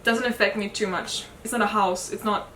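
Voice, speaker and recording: A woman's voice: medium pitch, fairly even intonation, brisk, a bit bright, echoey room, slight background noise. Swedish woman, candid and thoughtful. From Sweden. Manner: thoughtful, candid, light hesitations. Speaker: sailing vlog co-host. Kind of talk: casual Q&A chat.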